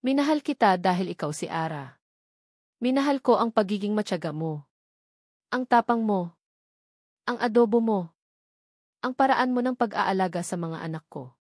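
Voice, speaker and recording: A woman's voice: mid-range voice, lively intonation, normal speed, long pauses, darkish tone, no echo, close to an everyday voice. Filipino woman doing a lovesick rich guy in a romance story, earnest, begging forgiveness, dry sound. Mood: pleading, tender. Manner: earnest, pleading. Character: remorseful, lovesick wealthy man pleading for forgiveness.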